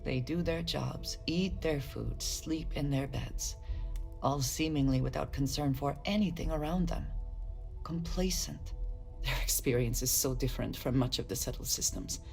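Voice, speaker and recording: A woman voicing a guarded, solemn space explorer from a hard upbringing in a video game, speaking formally and deliberately. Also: a bass voice, vocal fry, a little mellow, faint music behind. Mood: disapproving. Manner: earnest, formal diction, deliberate. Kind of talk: measured dramatic game dialogue.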